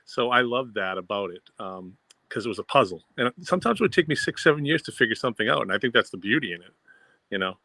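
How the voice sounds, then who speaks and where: A man's voice: medium pitch, expressive, fast, gravelly, pushed and bright, no room sound. A man with a Boston accent chatting as co-host, enthusiastic and rambling with fillers. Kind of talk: casual fan discussion.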